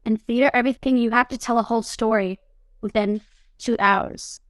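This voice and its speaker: A woman's voice: a little high, fairly even intonation, a little fast, no room sound. A young adult woman, an actress being interviewed, talking casually and animatedly, often saying "you know what I mean". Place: studio podcast set.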